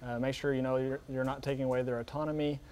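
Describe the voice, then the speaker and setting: A man's voice: medium pitch, little pitch movement, quick pace, darkish tone, a little hiss or hum. A man answering interview questions as a doctor, hesitant, with many ums and broken phrases. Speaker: geriatrician guest on a news show.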